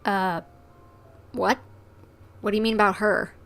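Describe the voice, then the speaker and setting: A woman's voice: medium pitch, little pitch movement, unhurried, fairly bright tone. A woman livestreamer giving casual, conversational game commentary, reacting aloud to the characters.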